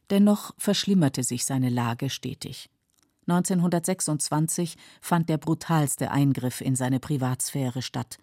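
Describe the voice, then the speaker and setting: A woman narrating a scripted documentary in a measured, factual and carefully articulated way. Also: sits low, mellow, breathy edge, no echo, clean studio sound.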